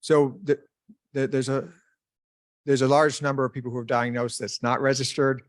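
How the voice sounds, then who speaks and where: A man's voice: mid-range voice, little pitch movement, moderate pace, dry recording, clean studio sound. A man on the panel clarifying a figure and speaking in a reassuring, repetitive way. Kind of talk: panel discussion.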